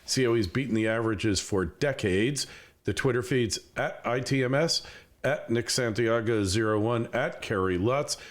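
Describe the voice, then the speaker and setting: A man's voice: medium pitch, moderate pace. A man hosting a podcast, talking casually as he interviews a guest about the news. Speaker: podcast host.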